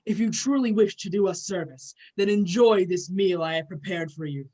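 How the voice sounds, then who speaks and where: A woman's voice: lowish voice, normal speed, mellow, lightly acted. A woman playing a proud, boastful male thunder god in an amateur myth reading, pompous and declamatory. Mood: stern, gracious.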